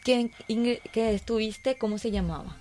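A woman's voice: fairly high pitch, moderate pace, mellow, slight background noise. A woman with a Paraguayan Spanish accent presenting a live radio show and interviewing as its host.

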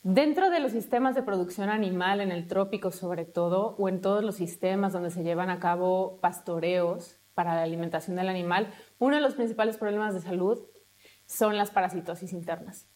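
A woman's voice: mid-range voice, a little fast, a little mellow. A woman academic, explaining her research fluently. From Mexico. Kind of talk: presentation to camera.

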